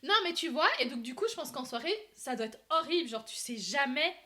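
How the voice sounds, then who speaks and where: Casting: bright, high-voiced woman host, animated and chatty. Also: a little fast. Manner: animated, asks questions. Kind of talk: casual chat.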